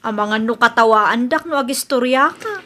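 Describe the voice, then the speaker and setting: A brash, quarrelsome young daughter, acted by a woman in an Ilocano comedy radio drama. Her voice is high and bright, with an Ilocano Filipino accent and a proud, neighborly feuding manner. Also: normal speed, a little hiss or hum. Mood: humbled, apologetic.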